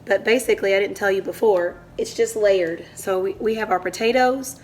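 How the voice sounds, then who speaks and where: A woman with a hoarse voice from a cold, casually walking through a home cooking recipe step by step in her kitchen as the channel's host. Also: mid-range voice, normal speed, darkish tone, a little hiss or hum. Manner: chatty, explains step by step.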